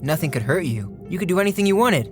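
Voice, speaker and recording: A woman's voice: on the low side, lively intonation, quick pace, faint music behind, natural voice. A woman playing a stubborn, bedridden male dreamer in an audio drama, earnest and insistent. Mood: teasing, persuasive.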